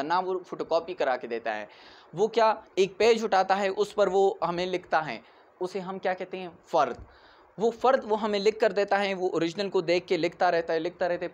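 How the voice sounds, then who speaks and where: A man in his twenties with a Pakistani accent giving an explanatory lecture, didactic, asking questions and answering them himself, switching into English legal terms. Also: sits high. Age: 20s. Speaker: law lecturer. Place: indoors in front of a whiteboard.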